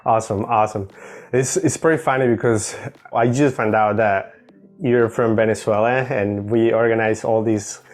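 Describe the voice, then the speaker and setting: A man's voice: fairly low pitch, fairly even intonation, moderate pace, soft background music. A man who is a native Spanish speaker, hosting a casual interview in an informal, conversational way. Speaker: interview host.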